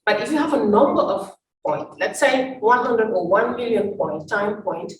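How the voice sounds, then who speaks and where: A woman from Senegal giving an academic talk over slides, pausing often with fillers and restarts. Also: a little high, lively intonation. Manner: halting, many fillers and restarts. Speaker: invited academic speaker. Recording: roomy, distant, faint noise.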